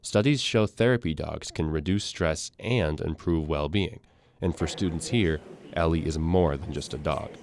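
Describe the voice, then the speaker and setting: Deep-voiced young male news reporter. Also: moderate pace, a little mellow. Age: young adult. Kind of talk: scripted news narration.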